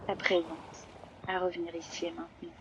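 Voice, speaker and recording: Female yoga nidra guide, low-leaning flat intonation, slow and spacious phrasing, prominent surf noise. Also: lo-fi sound. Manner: slow, unhurried, long pauses between phrases. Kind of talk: guided relaxation meditation. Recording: loud steady ocean-wave noise behind voice. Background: sound of sea waves.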